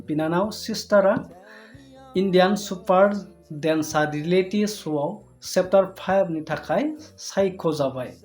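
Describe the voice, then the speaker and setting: A young man talking slowly and earnestly to camera in a small plain room, recorded close on a phone for his vlog. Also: high-pitched. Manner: slow, earnest storytelling to viewers. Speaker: vlogger. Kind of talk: casual talking-head vlog.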